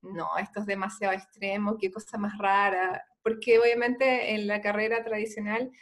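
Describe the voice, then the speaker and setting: A woman's voice: mid-range voice, level tone, moderate pace. A woman from Chile with a Chilean Spanish accent, a doctor guest telling her story in an earnest, conversational way with many "eh" fillers.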